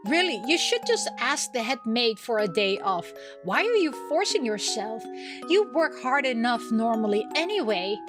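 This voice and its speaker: A caring young maid played by a woman reading a visual novel, high in pitch, nags and fusses over her roommate with emphasis. Game music plays clearly behind. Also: big pitch swings, normal speed. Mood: fond scolding.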